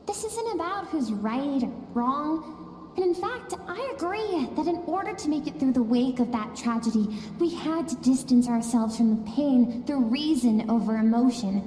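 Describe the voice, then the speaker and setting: An actress voicing an earnest, gentle young girl who speaks softly and tenderly in a game cutscene. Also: sits high, slight background noise. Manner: soft, pleading, tender. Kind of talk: video game cutscene dialogue. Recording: echoey reverberant hall. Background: soft music.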